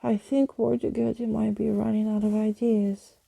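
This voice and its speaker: A woman playing plucky storybook girl, breathy, mellow, creaky edge, earnest, tender. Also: on the high side, level tone, normal speed, heavily acted, poor audio. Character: kind, plucky, imaginative young girl.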